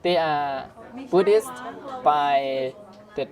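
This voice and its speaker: A young man with a Thai accent, a Buddhist monk, talking haltingly in an interview as he searches for words. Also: a little high. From Shan State, Myanmar, raised in Thailand. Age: young adult. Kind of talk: casual interview conversation. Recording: busy crowd noise around. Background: crowd chatter.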